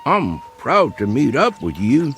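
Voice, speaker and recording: An actor playing a stubborn, plain-spoken country hunter in a vintage TV drama, with a rural Southern American accent and homespun manner. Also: male voice, medium pitch, huge pitch swings, unhurried, a bit bright, soft background music, full character voice. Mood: curious. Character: stubborn, plain-spoken backwoods male coon hunter, loyal to dog. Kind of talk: vintage television drama acting.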